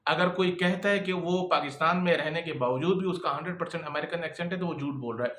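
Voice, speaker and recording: A man's voice: fairly high pitch, fast, a bit bright, echoey room. A man giving a casual lesson on freelancing, talking conversationally and often checking in with his listeners. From Pakistan. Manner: conversational, keeps checking in with "okay?". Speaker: freelancing instructor and employer.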